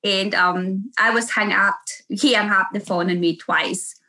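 A woman's voice: mid-range voice, steady tone, measured pace, pushed and bright, low-quality recording. A middle-aged woman with a Filipino accent, a nurse telling casual stories in an interview, chatty and laughing often. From Philippines. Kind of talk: casual storytelling.

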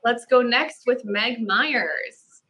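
A woman with a fairly high, bright and forceful voice moderating a panel. She is upbeat and welcoming and keeps things moving from guest to guest. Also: slow. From immigrant to the US. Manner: upbeat, introduces and thanks each guest. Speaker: host.

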